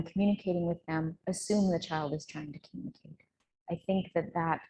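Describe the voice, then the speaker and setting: Low-pitched woman giving an academic talk. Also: a little mellow, slight background noise, poor audio. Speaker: conference speaker, researcher. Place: indoor conference room.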